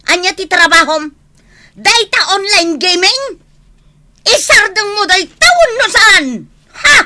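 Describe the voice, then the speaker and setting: A woman's voice: extremely high pitch, takes it slowly, very noisy. A woman playing an exasperated, nagging mother and wife in an Ilocano radio comedy drama, scolding dramatically. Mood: scolding, shouting. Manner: scolding, dramatic, loudly calling out.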